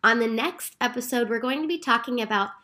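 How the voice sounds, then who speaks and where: A woman co-hosting a podcast in calm, explanatory casual chat. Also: on the high side, a little fast. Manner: calm, explanatory, recaps steps. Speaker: podcast co-host.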